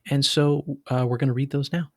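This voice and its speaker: A man's voice: medium pitch, little pitch movement, fairly quick. A man co-hosting a podcast, talking in a casual, chatty way and often hesitating mid-thought as he works out what to say. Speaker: podcast co-host and reader.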